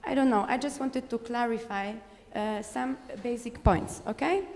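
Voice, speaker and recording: Higher-voiced woman in a reverberant hall. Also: moderate pace, a little mellow, a little hiss or hum. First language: Polish. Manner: direct, insistent, many hesitations and fillers. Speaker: audience member, philosopher. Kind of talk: conference floor remarks. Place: conference hall.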